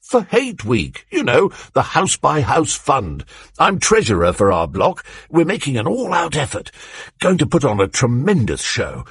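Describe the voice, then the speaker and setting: A man's voice: mid-range voice, wildly swooping pitch, normal speed, husky, dry recording, pitched above the performer's own voice, exaggerated voice. A man doing a hearty, bluff neighbour, all chummy cheer. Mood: cheery. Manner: cheery and eager, chummy "old boy" talk. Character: hearty, bluff, sweaty zealous male neighbour.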